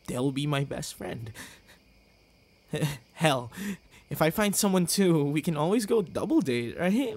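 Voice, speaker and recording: A man playing a tender, heartbroken young boyfriend in an intimate ASMR roleplay, with halting, stammering delivery and sighs. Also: fairly high pitch. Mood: hopeful, pleading.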